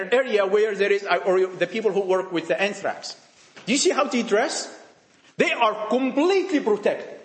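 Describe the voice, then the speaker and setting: Impassioned preacher with high lively voice. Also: male voice. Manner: emphatic, repetitive, rhetorical questions, dramatic drops in volume. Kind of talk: sermon to young people. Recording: echoey room.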